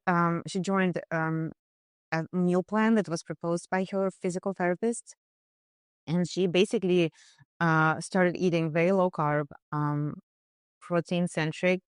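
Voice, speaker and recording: A woman nutritionist on a podcast, describing a client case in casual, halting speech full of fillers and restarts. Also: deep voice, smooth, no room sound, studio quality. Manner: hesitant, many fillers and restarts. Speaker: nutritionist guest. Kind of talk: conversational case discussion.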